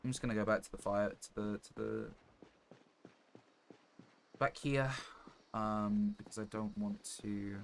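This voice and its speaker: A man's voice: on the low side, fairly even intonation, unhurried, gravelly, pauses often. A man live streaming a game, thinking aloud in casual commentary over a noisy stream mic.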